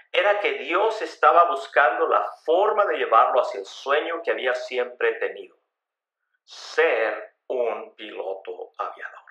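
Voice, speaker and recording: A man's voice: mid-range voice, pushed and bright. A man reading a scripted life story as a dramatic narrator. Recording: roomy, echoing voice recording.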